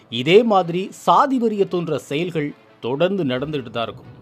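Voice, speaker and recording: A man presenting scripted social commentary in an emphatic, indignant manner full of rhetorical questions. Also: high-pitched.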